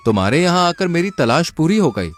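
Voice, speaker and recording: A man plays a clever young traveler in a folk tale. He sounds polite and sure of himself, in a slightly high, very expressive voice. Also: brisk, faint music behind. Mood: sly, assured. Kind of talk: Hindi folk tale dialogue.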